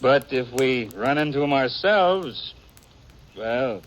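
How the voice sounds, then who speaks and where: An actor playing an eager young cowboy in a 1950s radio western, a smooth talker with a folksy, hesitant American Western drawl. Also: male voice, mid-range voice, lively intonation, takes it slowly. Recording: muffled, noisy 1950s radio recording.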